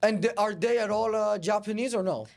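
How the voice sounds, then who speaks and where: Man, debate host, very fast, high voice, needling questions, halting phrasing. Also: creaky. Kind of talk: confrontational casual debate interview.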